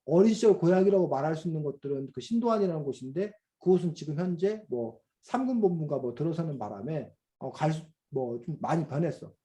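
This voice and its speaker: A middle-aged man teaching a children's reading class over a video call, explaining slowly with many fillers and questions to the students. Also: on the high side, expressive, mellow. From South Korea. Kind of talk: online class lecture to children.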